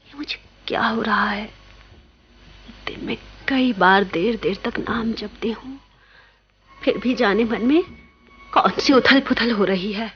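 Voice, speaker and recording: A woman's voice: fairly high pitch, a little slow, pauses often, highs cut off. An actress playing a young, devout monastery woman tormented by forbidden love in a radio play, with dramatic, intimate delivery. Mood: anguished, torn. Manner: dramatic, breathy introspective asides and coy dialogue. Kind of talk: radio play acting with confessional monologues. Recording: radio play with background music.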